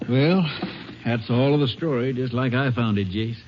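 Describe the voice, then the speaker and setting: An actor playing an earnest small-town sheriff with a folksy drawl in a 1950s radio drama. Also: male voice, medium pitch, moderate pace, natural voice. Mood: somber. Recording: hissy muffled vintage radio recording.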